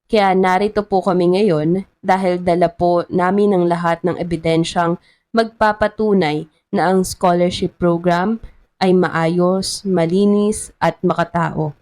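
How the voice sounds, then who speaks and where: A woman's voice: on the low side, steady tone, a little slow, a little mellow, close to an everyday voice. A woman with a Filipino accent voicing an earnest, principled male mayor in a story, speaking formally and solemnly.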